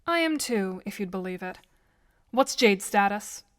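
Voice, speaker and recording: A woman plays a composed, sceptical teenage girl for an audio drama. The voice sits at a middle pitch, dry and formally worded. It sounds like a call, with plainly audible noise. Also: expressive, unhurried.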